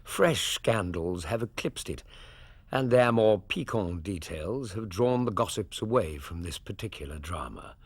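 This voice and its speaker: An actor with an English accent playing a genteel Victorian doctor in a radio drama, measured, literate and courteous. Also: male voice, low-pitched, expressive, breathy edge, dry recording, heavily acted. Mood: measured, reflective. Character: genteel Victorian doctor, wry loyal companion and storyteller. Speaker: actor and narrator. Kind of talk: period radio drama narration and dialogue.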